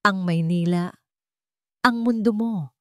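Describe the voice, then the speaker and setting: A woman's voice: medium pitch, slow pace, a bit bright, dry recording, clean studio sound. A Filipino woman voicing a guarded, bitter young single mother in a storybook drama, curt and hurt. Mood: wary, bitter.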